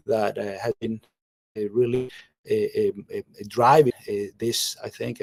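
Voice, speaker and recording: A middle-aged surgeon from Venezuela talks on a video call with a mellow, relaxed timbre. The sound is dry and close, with little room tone. He stops often on fillers and repeats words as he looks for the next thought. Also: mid-range voice, creaky, slightly rough, no echo. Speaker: general surgeon, guest. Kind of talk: casual video call interview.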